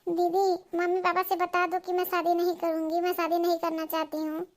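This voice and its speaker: A woman's voice: very high-pitched, completely flat delivery, brisk. A woman voicing a lovesick, dramatic young bride-to-be who pleads, in a comedy cartoon. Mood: pleading. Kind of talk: Hindi comedy cartoon dubbing.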